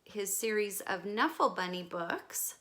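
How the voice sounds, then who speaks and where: Slow, bright-voiced woman reading a picture book. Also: medium pitch. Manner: careful, expressive storytelling with gentle questions to listeners. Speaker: teacher reading aloud to young children. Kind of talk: picture-book read-aloud with explanatory asides.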